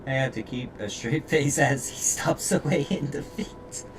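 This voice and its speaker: A man's voice: mid-range voice, a little slow, slight background noise. A man live-streaming a game, reading the story aloud with stumbles and sweary commentary. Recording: distant mic, game music behind. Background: game music.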